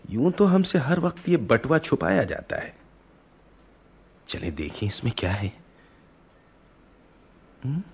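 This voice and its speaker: An actor playing a lovesick young prince in a radio play, imperious yet earnest, with courtly theatrical diction. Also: male voice, mid-range voice, big pitch swings, slow pace, gravelly, pauses often, darkish tone, breathy edge, dull audio, exaggerated voice. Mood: musing, then agitated. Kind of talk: Hindi-Urdu radio play acting.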